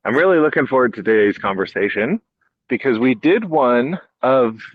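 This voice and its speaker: A man's voice: mid-range voice, animated, normal speed, no echo. Male podcast host, slightly dull audio. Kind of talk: casual chat interview.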